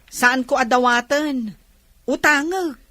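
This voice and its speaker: An actress playing a nosy, mocking Ilocano auntie who wheedles in a radio comedy drama. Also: female voice, high-pitched, slow pace, pushed and bright, no echo, full character voice. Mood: wheedling. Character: nosy, scornful auntie who mooches money from relatives.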